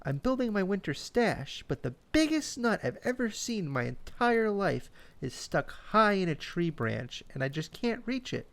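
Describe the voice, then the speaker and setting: Man doing an excitable little chipmunk, high-pitched. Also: moderate pace, creaky, hardly any pauses, exaggerated voice. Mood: pleading. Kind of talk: children's bedtime storybook character voice.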